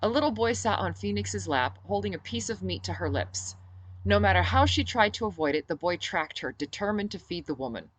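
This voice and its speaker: A woman reading her own novel aloud as expressive first-person audiobook narration. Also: medium pitch, fairly quick, breathy edge, no room sound, low-quality recording.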